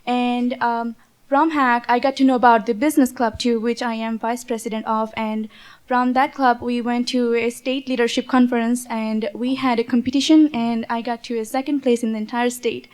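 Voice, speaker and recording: A young woman from Bangladesh, a student speaking on a panel, halting with many fillers. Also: fairly high pitch, steady tone. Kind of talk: panel talk.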